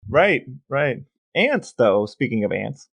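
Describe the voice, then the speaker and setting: A man co-hosting a podcast, chatting casually and delivering playful facts and figures with a deadpan touch. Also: mid-range voice, takes it slowly, creaky, breathy edge. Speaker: podcast co-host. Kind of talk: casual chat with playful calculations.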